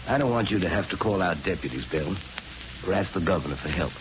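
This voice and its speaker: Earnest low-voiced priest. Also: male voice, expressive, fast. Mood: firm, insistent. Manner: grave, direct, insistent. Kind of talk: 1940s radio drama acting. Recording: muffled, very noisy 1940s radio broadcast.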